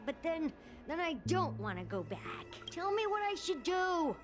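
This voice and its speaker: A high, swooping, confused dreamer. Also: female voice, very animated, a little slow, music in the background, exaggerated voice. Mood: confused, plaintive. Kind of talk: video game character dialogue.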